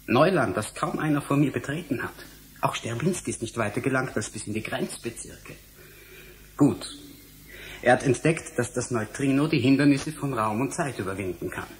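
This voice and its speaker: A man's voice: mid-range voice, lively intonation, normal speed, a little hiss or hum, lightly acted, low-quality recording. Impatient young male scientist played by an actor. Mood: excited lecturing. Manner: impatient, lecturing. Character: irritable, self-absorbed male scientist, brilliant inventor. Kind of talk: German radio drama acting.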